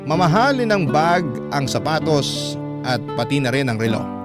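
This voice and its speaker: A male radio host reads a first-person confessional story. His voice sits a little high, with an earnest, confiding, dramatic delivery. Prominent plucked guitar music plays behind him. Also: very animated, normal speed. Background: music bed with plucked guitar.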